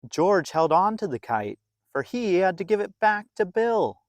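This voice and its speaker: A storyteller reading a picture book aloud to young children in an expressive, animated way with dramatic pauses. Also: medium pitch, no room sound.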